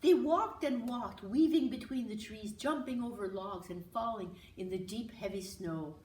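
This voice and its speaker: A woman's voice: on the high side, moderate pace. A woman author reading a children's picture book aloud expressively, with small asides to the listeners. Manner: expressive, dramatic. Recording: roomy, distant mic with hiss.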